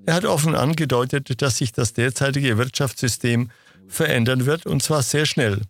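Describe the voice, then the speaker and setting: Gravelly man explaining economics steadily. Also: medium pitch, moderate pace, no room sound. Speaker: interview guest. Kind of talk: explanatory interview answers.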